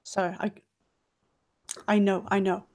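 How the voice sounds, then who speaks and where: A woman's voice: mid-range voice, level tone, slow pace, darkish tone. A woman improvising in character as a player in a tabletop mystery, with a genteel, theatrical manner. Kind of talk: in-character improv role-play.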